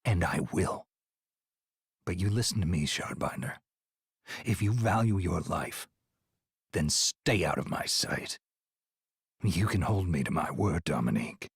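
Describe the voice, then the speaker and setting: An actor playing a gruff, scornful demon hunter in a video game, with a rough, curt, menacing delivery. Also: male voice, sits low, lively intonation, unhurried, long pauses, no room sound, studio quality, heavily acted. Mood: cold, threatening. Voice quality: gravelly, rough.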